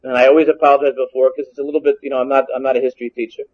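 A man's voice: mid-range voice, level tone, very fast. A man whose first language is Hungarian teaches Torah from a text, reading Hebrew phrases and translating them one by one, often repeating and restarting. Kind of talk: text-based Torah lesson. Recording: dull lo-fi recording.

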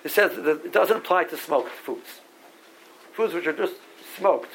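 A man's voice: fairly high pitch, animated, unhurried, fairly bright tone, slight background noise, poor audio. A man from Barbados giving a halachic lecture, often repeating words as he works through sources and reading out Hebrew legal terms. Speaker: rabbi teaching a Talmud class.

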